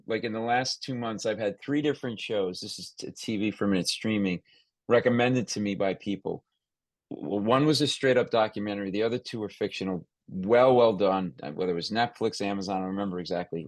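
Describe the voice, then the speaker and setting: A man's voice: lowish voice, fairly quick, dry recording. An American man hosting a conversational podcast interview, talking emphatically and often restarting and repeating his phrases.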